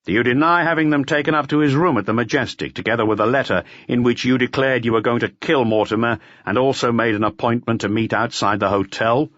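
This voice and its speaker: A man's voice: mid-range voice, fast talker, hardly any pauses, fairly bright tone, dry recording, muffled recording, pitched above the performer's own voice, natural voice. A man voicing a stern, persistent police detective in an audiobook, questioning bluntly. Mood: pressing, stern. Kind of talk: audiobook character voice.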